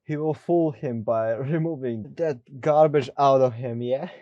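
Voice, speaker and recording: A man's voice: mid-range voice, measured pace, mellow, no echo. A man commenting casually on a video game as he plays, close to the microphone. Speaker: gamer commenting on play. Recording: dull, close, dry mic.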